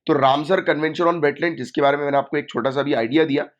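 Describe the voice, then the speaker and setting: A man with a sore throat lectures students for exam prep, going over key points and checking in with "right?" His voice sits a little high for a man. The recording is dull and lo-fi. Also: fairly even intonation, fast. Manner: explanatory, repeats key points and asks "right?". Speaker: exam coaching teacher.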